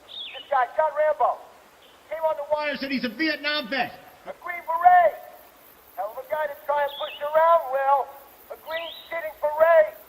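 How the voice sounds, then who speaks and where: An actor voicing an angry small-town cop, barking orders in a frantic, shouting manner. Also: male voice, very high-pitched, moderate pace, in a large hall, slight background noise, poor audio. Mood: agitated. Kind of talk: game cutscene voice acting.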